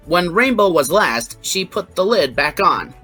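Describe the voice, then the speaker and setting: A woman's voice: lowish voice, very animated, measured pace, bright, forceful tone, breathy edge, poor audio. A woman narrating a scripted fan fiction story. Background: background music.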